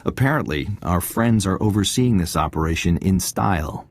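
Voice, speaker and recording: An actor playing a confident, wry military intelligence agent giving a mission briefing in a video game. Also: male voice, sits low, expressive, normal speed. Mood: assured briefing. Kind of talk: video game cutscene dialogue.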